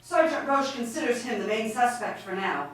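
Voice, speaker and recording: A woman's voice: fairly high pitch, lively intonation, normal speed, close to an everyday voice. A woman playing an efficient, businesslike police clerk sidekick in a live radio play. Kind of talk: live radio theatre acting. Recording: echoey, distant stage pickup.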